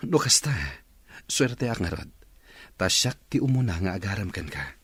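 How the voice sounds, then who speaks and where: Guy acting as smooth-talking suitor, husky voice, swingy pitch, ilocano accent, radio drama. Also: sing-song, normal speed, a bit bright, dry recording, heavily acted. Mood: flirtatious.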